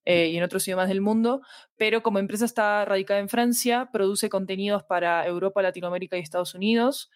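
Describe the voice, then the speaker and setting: A woman's voice: medium pitch, quick pace, no echo. Woman explaining in a dry, close recording. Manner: explanatory, adds tag questions like "¿verdad?" and "¿sí?". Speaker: podcast fiction director being interviewed. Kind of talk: conversational interview answers.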